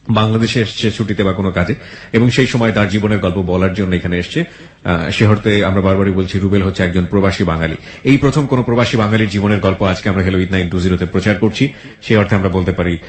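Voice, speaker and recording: A man with a Bangladeshi accent presenting a radio show to listeners, mixing English phrases into his talk. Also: fairly low pitch. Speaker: radio host. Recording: echoey, reverberant voice.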